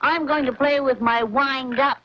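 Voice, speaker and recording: A sulky little boy in an old cartoon, high-pitched and slow with a grumpy, dismissive drawl. The recording is dull and lo-fi. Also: fairly even intonation.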